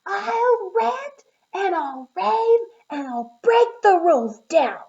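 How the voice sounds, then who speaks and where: A woman voicing a furious young male lightning bug who yells drawn-out threats, on a slightly dull recording. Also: extremely high pitch, very animated, gravelly, voice pushed up, heavily acted.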